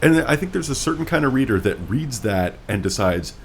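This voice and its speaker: A man's voice: medium pitch, lively intonation, a little fast, vocal fry. A man co-hosting a podcast in a casual book discussion, opinionated and wordy, with theatrical emphasis. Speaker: podcast co-host. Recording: noticeable background noise.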